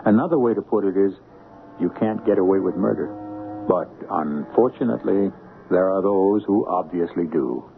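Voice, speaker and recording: A man's voice: low voice, normal speed, creaky, narrow, tinny audio, a little hiss or hum. A man hosting a radio mystery with theatrical scripted narration, formal, wry and musing. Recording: band-limited old radio broadcast.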